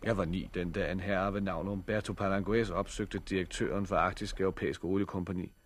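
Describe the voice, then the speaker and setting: A man's voice: deep voice, steady tone. An actor playing a reluctant adult man who survives a disaster and narrates it, in a 1960s radio drama. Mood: narrating.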